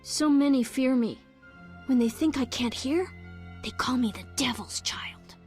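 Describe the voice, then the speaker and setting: A woman's voice: sits high, measured pace, a little mellow, soft background music. A woman voicing a lonely, anxious young boy in a video game, in a childlike, plaintive way. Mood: frightened, pleading.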